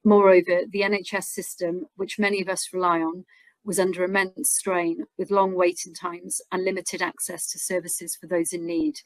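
A woman reading a prepared personal story aloud on a webinar over a video call, in a steady, formal way. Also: lowish voice, moderate pace, dry recording, rough recording. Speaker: charity staff member reading a patient's story.

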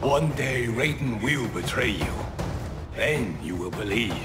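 A mid-pitched male voice, acted by a man as a bitter revenant warrior in a video game. He taunts slowly and vengefully beneath very loud music. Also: expressive, lightly acted. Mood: bitter taunting. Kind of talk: dramatic video game acting.

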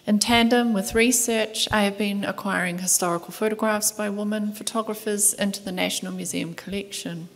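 A middle-aged woman with a New Zealand accent reading a conference paper in a soft, measured voice, from a table mic in a large lecture hall. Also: medium pitch, fairly even intonation. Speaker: conference speaker, museum curator. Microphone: table microphone at panel desk.

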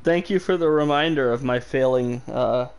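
A man's voice: medium pitch, moderate pace, darkish tone, clear, resonant tone. A young man casually commentating a video game stream, sarcastic and self-deprecating, swearing now and then.

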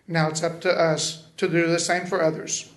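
A middle-aged man with a hoarse voice leads a solemn, measured prayer from a podium. His voice sits fairly high. The sound rings through a large courtroom. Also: level tone, a little hiss or hum. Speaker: invocation speaker. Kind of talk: spoken prayer. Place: large county courtroom, indoors. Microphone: podium microphone. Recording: echoey large hall.

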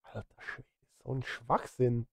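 A man's voice: medium pitch, level tone, measured pace, husky, no echo. A middle-aged man chatting casually on a live stream as a music streamer, thinking aloud and grumbling at himself, close on a studio mic. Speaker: streamer and film music composer. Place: home studio, indoors. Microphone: close studio microphone.

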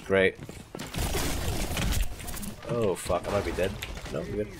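A man's voice: low-pitched, steady tone, takes it slowly, a little hiss or hum. A young man with a stuffy, congested voice, chatting casually and swearing now and then as he plays. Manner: chatty, laughing, swearing at close calls. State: congested from a cold. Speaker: gaming channel host. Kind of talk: casual gameplay commentary. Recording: music playing clearly underneath. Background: game music and sounds.

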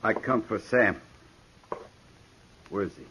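A man's voice: lowish voice, takes it slowly, sharp tone, slight background noise. A menacing hired gunman. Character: menacing hired gunman, grown man, reckless. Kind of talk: 1950s radio drama acting. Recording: dull old radio recording.

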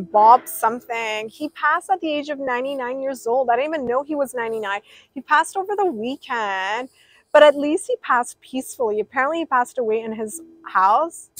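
A woman's voice: fairly high pitch, dry recording, poor audio. A young woman livestreaming outdoors by a pool, rambling casually and reading out viewers' names. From Persian.

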